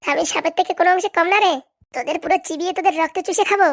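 Woman as a shrill, swaggering cartoon prison queen. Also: racing. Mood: haughty. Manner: taunting, bullying, bragging. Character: menacing female prison boss, veteran inmate, self-styled queen. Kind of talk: Bengali comedy cartoon dialogue.

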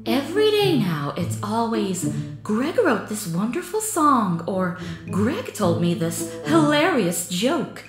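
Woman as a prim, high-voiced jealous servant. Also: very animated, slow pace, reverberant, music in the background. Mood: exasperated. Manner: prim, exasperated, mimicking.

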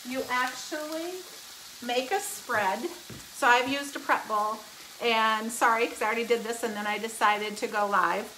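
Bright chatty woman cooking at home. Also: a little high, steady tone, normal speed, slight background noise, poor audio. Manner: chatty and upbeat, explaining steps while cooking. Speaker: home cook hosting a live cooking stream. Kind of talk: casual cooking demonstration. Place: home kitchen, indoors. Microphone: phone camera, often held close, sometimes across the kitchen. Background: sizzling pan.

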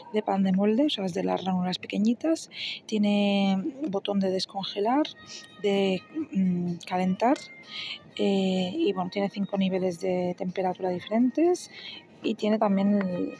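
A woman's voice: medium pitch, gravelly, background noise. A young woman from chatting casually through a shopping haul, often checking in with her viewers and correcting herself as she goes. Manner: chatty, self-correcting, frequent "¿vale?" check-ins. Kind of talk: casual product walkthrough.

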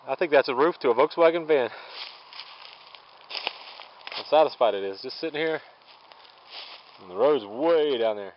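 A man's voice: on the high side, lively intonation, moderate pace, pauses often. Easygoing man rambling on a trail. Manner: rambling, easygoing, thinking aloud. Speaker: thru-hiker vlogger. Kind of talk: casual handheld trail narration. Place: outdoors on a forest trail. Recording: dull lo-fi camera audio, faint outdoor noise.

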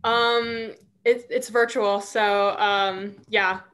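A woman's voice: mid-range voice, little pitch movement, extremely slow, a bit bright, smooth. A young woman from Arizona speaking on a video call, shy, giggly and hesitant. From Arizona, USA. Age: young adult. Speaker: student club vice president. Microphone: laptop webcam on video call.

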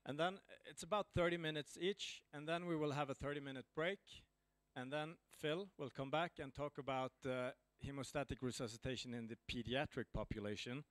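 A Norwegian-accented man in his thirties introduces a conference session into a handheld microphone. His pitch is average for a man and the sound is dry, with little room in it. The delivery is informal. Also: fairly even intonation, brisk, fairly bright tone, no room sound. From Norway. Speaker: session chair and speaker. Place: conference room before an audience. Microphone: handheld microphone held close.

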